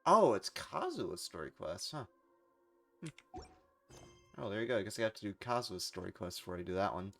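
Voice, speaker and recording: Male streamer, low pitch, gravelly fry, casual gameplay commentary, rambling thought process. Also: sing-song, fairly quick, pauses often, a bit bright, faint music behind. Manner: rambling, thinking aloud. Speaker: game streamer.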